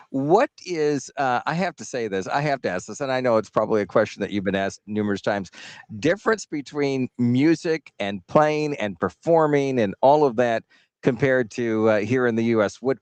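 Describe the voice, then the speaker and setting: A man's voice: mid-range voice, moderate pace, no room sound. A man hosting a radio interview, asking questions with many uhs and restarts. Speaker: radio host.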